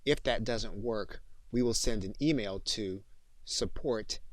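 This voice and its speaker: A man giving a software training demonstration as an instructor, speaking in deliberate, enunciated, instructional phrases. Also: on the low side, dry recording. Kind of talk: tutorial demonstration with dictated voice commands.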